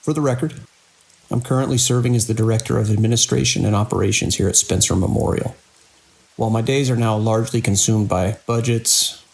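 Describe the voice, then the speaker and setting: Man playing a husky, gravelly, formal hospital director. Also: on the low side, darkish tone, poor audio. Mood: formal, wry. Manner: measured, formal, wry. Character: weary, formal hospital director and surgeon dictating a log. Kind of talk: scripted audio drama narration.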